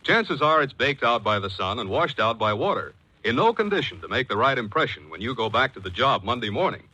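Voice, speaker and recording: American man, 1940s radio announcer, lively intonation, forceful tone, polished sales pitch, emphatic. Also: a little fast, slightly rough, no echo, dull audio.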